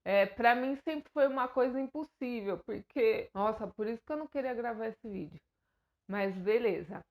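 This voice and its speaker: A woman's voice: on the high side, moderate pace. A young Brazilian woman with a Brazilian Portuguese accent, talking straight to her viewers in an earnest, hesitant way, with many fillers and restarts. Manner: earnest, emotional. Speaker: YouTuber. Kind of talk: personal vlog to camera.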